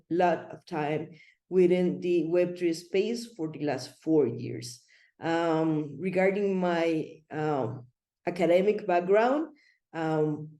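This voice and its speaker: A woman's voice: lowish voice, dark tone. Woman presenting in an echoey room. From Costa Rica. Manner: friendly, rambling, many fillers and restarts. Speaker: web3 consultant presenter. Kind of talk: online presentation talk.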